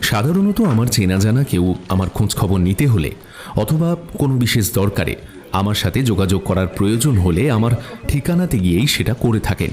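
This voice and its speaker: A man's voice: lowish voice, very animated, normal speed, darkish tone. An actor playing a composed office worker narrating his detective story in first person.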